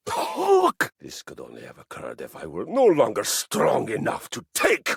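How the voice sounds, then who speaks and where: A man playing a brash, battle-loving Viking warrior in a video game, boastful and theatrical, with gravelly voice and pompous archaic phrasing. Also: mid-range voice, wildly swooping pitch, unhurried, dry recording, clean studio sound, heavily acted. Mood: puzzled, proud. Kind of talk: video game character voice acting.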